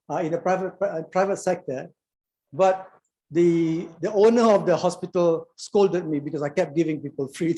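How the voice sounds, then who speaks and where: A man's voice: sits high, mellow, low-quality recording. Malaysian man in his sixties telling stories. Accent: Malaysian English. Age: 60s. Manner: storytelling, frequent word repeats and restarts. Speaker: doctor and alumni conference speaker. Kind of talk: anecdotal conference talk.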